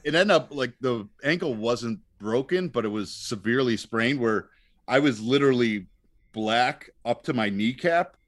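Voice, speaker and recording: A man's voice: mid-range voice, normal speed, a bit bright, dry recording, studio quality. Man telling a loose, wry podcast anecdote. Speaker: podcast guest, comedian. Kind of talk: casual anecdotal storytelling.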